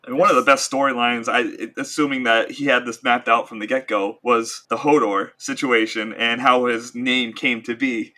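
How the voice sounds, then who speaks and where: A man co-hosting a podcast in casual discussion, often repeating words haltingly as he works through his explanations. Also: mid-range voice, fairly even intonation, sharp tone. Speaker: podcast co-host. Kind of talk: casual pop culture discussion.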